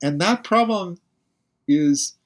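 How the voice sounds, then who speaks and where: A man's voice: high voice, lively intonation, slow pace. A male physicist, a guest on a podcast interview, who explains carefully and thinks aloud as he speaks.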